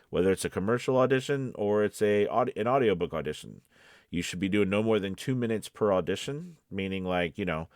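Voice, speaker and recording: A man's voice: low-pitched, dry recording, clean studio sound. A man speaking as a voice-over coach, giving casual, conversational advice and repeating his points for emphasis. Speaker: voice-over coach and casting professional. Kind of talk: casual instructional advice to camera.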